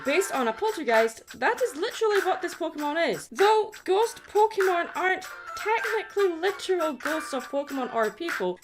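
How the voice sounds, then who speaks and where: A woman narrating a scripted video essay in an expressive way, with conversational asides. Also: on the high side. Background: background music.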